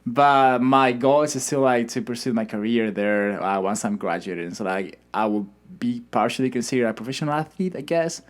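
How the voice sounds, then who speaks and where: A young man from Spain, a swimmer guest in a casual interview, talking in a relaxed, hesitant way with many fillers and restarts. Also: medium pitch, normal speed, hardly any pauses. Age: young adult.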